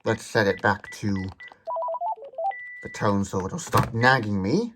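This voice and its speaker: A man's voice: on the low side, animated, slow pace, very noisy. A man hosting a tech demonstration, explaining details methodically. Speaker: tech demonstrator, video host.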